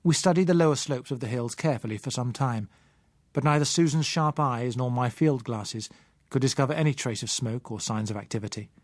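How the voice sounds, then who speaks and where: A man with a British accent reading a novel in the first person as an audiobook narrator, in a measured, literary way. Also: medium pitch, dry recording, studio quality.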